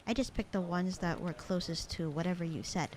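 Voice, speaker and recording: A woman playing a bored, sarcastic, slightly flirty secretary in a casual ASMR audio roleplay, with a dry, deadpan, teasing delivery. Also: lowish voice, brisk, crowd noise, natural voice. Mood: dry, indifferent. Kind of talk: ASMR roleplay acting, casual one-sided conversation.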